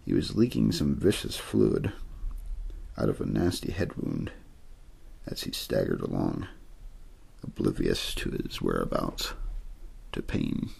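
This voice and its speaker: A man reading a story aloud in ASMR style, soft-spoken and measured, with frequent pauses and a breathy, gravelly voice. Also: rumbling low, huge pitch swings. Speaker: audiobook-style reader for an ASMR channel.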